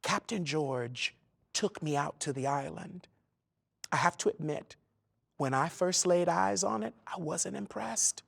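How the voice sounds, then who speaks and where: A man's voice: fairly high pitch, unhurried, vocal fry, a bit bright, breathy edge. A man, an actor, giving a tender dramatic reading of a letter in a storytelling manner.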